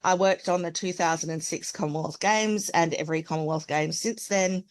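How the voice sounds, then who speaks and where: Australian woman presenting, lowish voice. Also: moderate pace. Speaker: presenter, accessibility expert. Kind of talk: webinar presentation. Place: indoors, on a video call.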